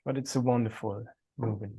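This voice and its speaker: A man's voice: medium pitch, steady tone, fast talker, vocal fry, warm tone, slightly rough, rough recording. A man with a German accent, a native German speaker, talking earnestly as a qigong teacher on a video call, sometimes searching for words.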